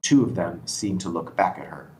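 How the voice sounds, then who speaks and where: A man's voice: low-pitched, moderate pace, darkish tone. A man reading scripted stage directions as narrator at a screenplay table read. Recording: constant background noise.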